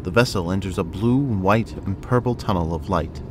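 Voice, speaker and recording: Male narrator, low register, reading scripted scenes for an audio drama. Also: normal speed, heavy background noise.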